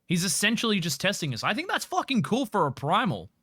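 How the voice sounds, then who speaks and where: An Australian man giving casual, expressive game commentary and chatting with viewers on a livestream. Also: high-pitched, fast, husky, dry recording, clean studio sound. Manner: expressive, sweary.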